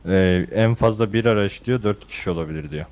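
A man's voice: lowish voice, brisk, a little mellow. A man talking casually over in-game voice chat as a fellow player.

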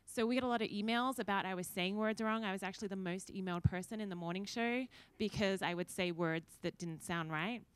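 Brief: Australian woman in her thirties, panelist, chatty storyteller, smooth and clear, dry close sound. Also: medium pitch, a little fast, few pauses, no room sound. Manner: chatty and anecdotal. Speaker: panelist, former TV news anchor. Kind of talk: conference panel answer. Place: conference room with audience, indoors. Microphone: handheld mic.

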